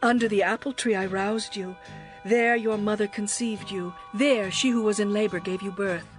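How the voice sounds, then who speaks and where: A woman playing a passionate, devoted young bride in a dramatized scripture reading, with a tender, expressive, poetic delivery. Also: mid-range voice, normal speed, soft background music, lightly acted. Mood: passionate devotion.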